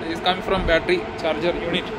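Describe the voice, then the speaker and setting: High-voiced man touring a ship room. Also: little pitch movement, heavy background noise. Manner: plain explanatory, simple phrasing with filler pauses. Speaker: ship electrician vlogger. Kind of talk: casual work-tour vlog. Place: indoor ship battery room, hard walls.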